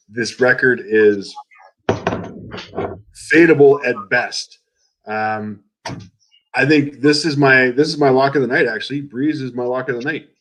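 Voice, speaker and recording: A man in casual chat gives his fight picks with confidence. He circles back to the same points to stress them. His voice sits at a middle pitch. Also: a bit bright, low-quality recording. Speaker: co-host of a betting picks show.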